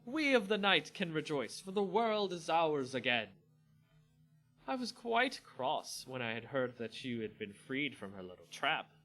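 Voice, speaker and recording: A man acts out a noble vampire hostess from a fantasy story, high and very animated. She is gushing and conspiratorial, with imperious, gleeful relish in every phrase. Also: measured pace, soft background music, poor audio. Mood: smug, gloating.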